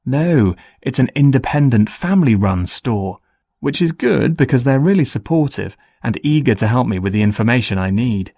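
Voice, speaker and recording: A man playing a busy, friendly young business student in a scripted, clearly enunciated listening-test dialogue. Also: medium pitch, expressive, normal speed, dark tone, no echo. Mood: earnest, friendly.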